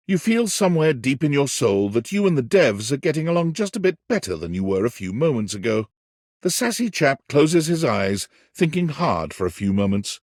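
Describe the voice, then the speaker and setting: A man's voice: medium pitch, dry recording, studio quality. An actor playing a wry, dramatic storyteller, a man narrating a cheeky dating game in an arch, florid, theatrical way. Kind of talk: scripted narration.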